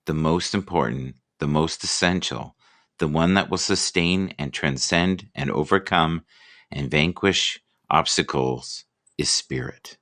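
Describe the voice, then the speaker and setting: A man hosting a casual live chat, relaxed and rambling, who reads inspirational quotes aloud slowly. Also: very deep voice. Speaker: livestream host.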